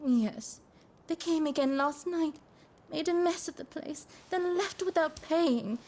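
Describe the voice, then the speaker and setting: A woman's voice: high-pitched, a little slow, a little mellow. A woman playing a polite, anxious and devoted geisha with a deferential manner in a video game cutscene. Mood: polite, troubled.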